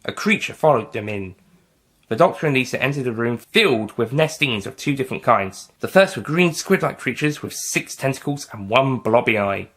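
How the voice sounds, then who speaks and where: A man's voice: mid-range voice, lively intonation, moderate pace, a bit bright. A man reading scripted audiobook narration as the narrator of a story.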